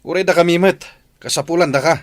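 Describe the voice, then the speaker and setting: A man's voice: on the high side, quick pace, natural voice. An actor playing a stern, demanding father in a radio drama. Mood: angry, demanding. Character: stern, demanding father who objects to leaving. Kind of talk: Ilocano radio drama acting.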